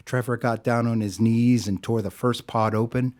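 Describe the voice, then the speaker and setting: A man narrating an audio drama in a measured, deliberate storytelling style. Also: medium pitch, fairly even intonation, a little mellow.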